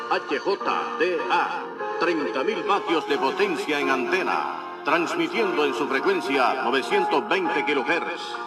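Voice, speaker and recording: A man announcing promotional radio station identifications. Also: a little high. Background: loud music.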